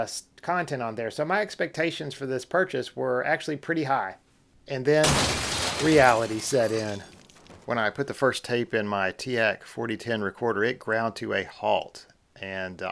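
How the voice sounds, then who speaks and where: A man's voice: mid-range voice, gravelly. A man narrating a casual hobby video as its host, in a conversational, explanatory way. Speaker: vlog host.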